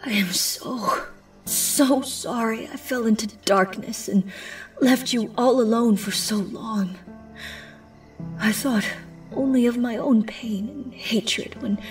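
A woman's voice: a little high, takes it slowly. A woman playing a grieving, remorseful pony princess of the night in a fan audio drama, speaking formally and often near a whisper. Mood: remorseful sorrow. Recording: distant, music underneath.

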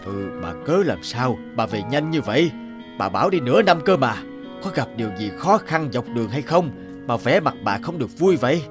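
An actor playing a gentle, sorrowful elderly father in a cartoon, with a halting, plaintive delivery. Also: mid-range voice, big pitch swings, music in the background, lightly acted. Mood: gentle concern. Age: older. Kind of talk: cartoon voice acting.

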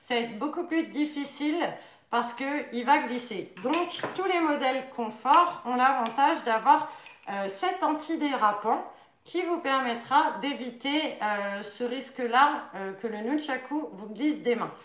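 A woman's voice: sits high, expressive, measured pace, a bit bright. A young French-speaking woman demonstrating nunchaku models in a casual live stream from her living room, chatty and full of fillers. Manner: chatty, many fillers, demonstrating while talking. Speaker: nunchaku instructor. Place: living room at home, indoors. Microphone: camera across a table. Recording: echoey room.

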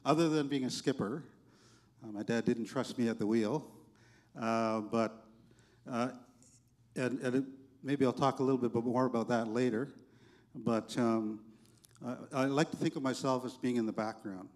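A man speaking on a conference panel in a large hall, reflective and soft-spoken, with frequent fillers and restarts. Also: mid-range voice, steady tone, normal speed, long pauses. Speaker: panelist, economic development executive.

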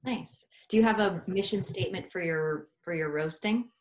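A woman with a notably low-pitched voice hosts an interview over a video call. She asks her questions in a casual, conversational way. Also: normal speed. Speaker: interview host. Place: small room indoors, video call. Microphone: computer mic on a video call.

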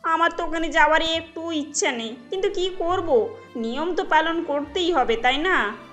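A woman's voice: very high voice, normal speed, a bit bright, faint music behind. A woman voicing a haughty middle-aged mother-in-law in an emphatic, melodramatic cartoon style. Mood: scornful. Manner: emphatic, judgemental.

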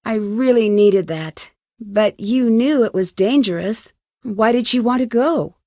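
Young American female detective, video game dialogue, earnest, questioning, fairly high voice, dry studio sound. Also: animated, measured pace, warm tone, no room sound. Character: determined, inquisitive young woman detective. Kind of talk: video game voice acting, isolated dialogue lines.

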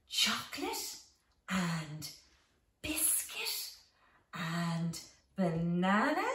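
Middle-aged female storytime teacher, expressive and swooping, recorded in an echoey room away from the mic. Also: medium pitch. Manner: very slow, simple, deliberate phrasing with expressive emphasis. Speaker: home teacher reading a picture book to young children. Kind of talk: children's storytime read-aloud with signing. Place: small living room at home, indoors. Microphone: camera a few metres away. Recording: roomy echo, distant microphone.

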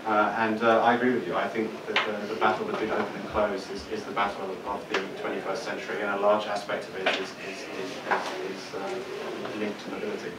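A man's voice: on the low side, completely flat delivery, brisk, few pauses. A man from London, an author on a panel, speaking hesitantly with many ums and repeated words. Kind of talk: panel discussion remarks. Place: seminar room with audience. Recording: distant, roomy, noisy.

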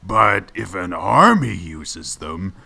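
A man voicing a scheming, grandiose evil mask spirit in a cartoon game parody, gravelly and theatrical. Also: low-pitched, big pitch swings, slow pace, pushed and bright, exaggerated voice. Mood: sly, grandiose. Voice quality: gravelly, raspy. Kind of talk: parody dub villain acting.

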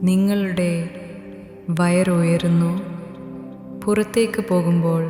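A woman narrating a guided sleep meditation in a gentle, soothing, instructional manner. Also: lowish voice, fairly even intonation, slow pace. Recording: echoey hall reverb under music. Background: new-age meditation music.

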